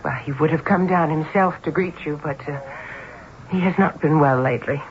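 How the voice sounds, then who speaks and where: A woman's voice: low voice, normal speed, natural voice. A woman playing a polite, uneasy well-to-do wife in a radio mystery, refined and guarded. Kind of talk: 1970s radio mystery acting. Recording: muffled old radio recording, faint hiss.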